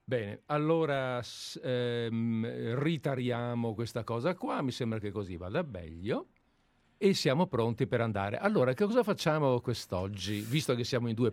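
A man hosting live radio from a studio, talking in an easy, rambling way and drifting into anecdotes. His voice is middle-pitched, and the sound is close and dry. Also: a little mellow, no room sound. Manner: chatty, digressive storyteller. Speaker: radio host.

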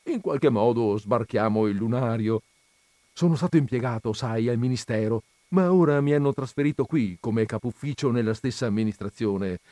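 A man's voice: medium pitch, moderate pace, dark tone, dry recording, natural voice. A man voicing a thin, chattering old school friend in a short story reading, gushing and repeating himself. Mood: earnest, chatty.

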